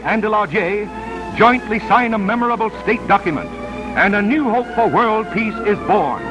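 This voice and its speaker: A man's voice: high voice, poor audio. A man narrating a 1930s newsreel in a dramatic, solemn, declamatory style on a vintage soundtrack. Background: newsreel music.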